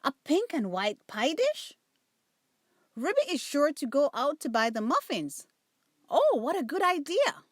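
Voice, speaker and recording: A woman's voice: high-pitched, huge pitch swings, unhurried, dry recording, studio quality, exaggerated voice. A woman voicing an elegant little female dog in a storybook, eagerly talking things over with herself. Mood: excited, scheming. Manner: eager, puzzled self-talk.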